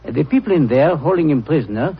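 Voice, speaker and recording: Detective: polite, wry, eager, animated medium-pitched voice, muffled vintage radio recording. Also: male voice, husky, full character voice. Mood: grave, keen. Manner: formal, measured, wry. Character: suave French detective, eager, wry, courteous. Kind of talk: 1940s radio acting.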